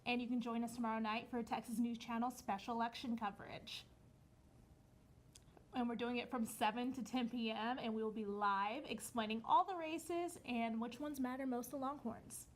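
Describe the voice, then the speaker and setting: A woman's voice: a little high, fairly even intonation, moderate pace, a little hiss or hum. A woman political analyst presenting a scripted news segment in a cheerful, informative way.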